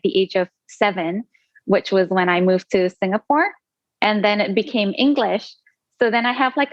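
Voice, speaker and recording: A woman's voice: a little high, no room sound. Chatty woman author on a dull call line. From Indonesia. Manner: chatty, many fillers and laughs. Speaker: author guest. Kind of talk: casual interview chat.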